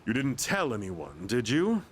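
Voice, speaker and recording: Smug scheming salesman, slow and drawn out, wildly swinging pitch. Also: huge pitch swings, a little hiss or hum. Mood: sly threatening. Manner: smug, lecturing, sly laughs. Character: scheming male salesman of bogus products, smug.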